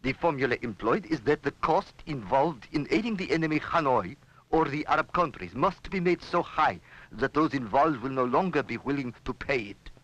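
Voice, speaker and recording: A man's voice: mid-range voice, lively intonation, moderate pace, a bit bright, low-quality recording. A man reading a military leader's formal written words as a scripted documentary quotation.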